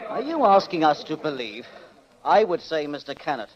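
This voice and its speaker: A stern, sceptical coroner runs a courtroom in an old radio play. His voice is fairly high and lively in pitch, formal and commanding, on a dull vintage recording. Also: moderate pace. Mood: sceptical, dismissive. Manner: formal, authoritative, clipped. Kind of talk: 1940s radio acting.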